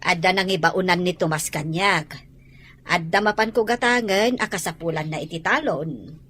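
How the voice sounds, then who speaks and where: A woman's voice: on the low side, moderate pace, a bit bright, slightly rough. An actress with an Ilocano accent playing a busy, dryly scolding village woman in a radio comedy drama. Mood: dryly teasing.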